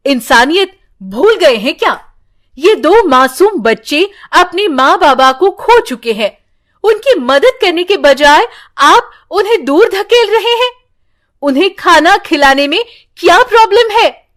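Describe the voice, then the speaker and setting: A woman's voice: very high voice, very animated, unhurried, a bit bright, voice pushed up, exaggerated voice. A woman acting an indignant female doctor who scolds with righteous emphasis in a moral story. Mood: angry.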